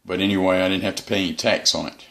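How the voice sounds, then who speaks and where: A man giving a casual, unscripted hobby review as the channel's host, in a halting, rambling way. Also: sits low, fairly even intonation, vocal fry, sharp tone.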